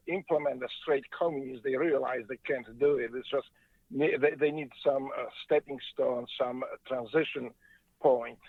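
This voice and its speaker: A man from Poland with a Polish accent, a guest telling his story in halting, earnest speech over the phone from a moving car. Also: fairly high pitch, no echo. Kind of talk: phone interview. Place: car on the freeway. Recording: tinny phone line, dry.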